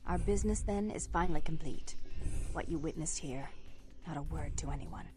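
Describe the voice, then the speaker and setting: A woman's voice: low voice, moderate pace, over music, lightly acted. An actress playing a cold, controlling vampire businesswoman in a video game, speaking in a formal, composed, condescending way. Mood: businesslike. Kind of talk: video game character acting.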